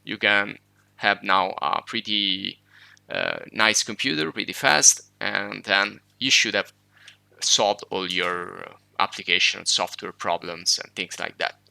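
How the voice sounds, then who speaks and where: A man whose first language is Italian, explaining computer steps in a relaxed screen-recorded tutorial, with fillers and self-corrections. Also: on the low side, creaky, dry recording. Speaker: tech tutorial host.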